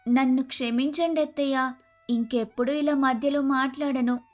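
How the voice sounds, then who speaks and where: A woman's voice: high voice, fairly even intonation, moderate pace, lightly acted. A woman playing a meek, dutiful young daughter-in-law in a cartoon moral story, polite and submissive. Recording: music behind the voice.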